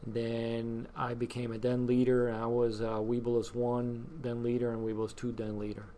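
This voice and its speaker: A middle-aged man from Cuba speaks in a casual interview, his voice held in a narrow, even pitch. He pauses and restarts often, leaning on fillers. A faint hiss runs under the recording. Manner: hesitant, many fillers and restarts. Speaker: guest, scouting volunteer.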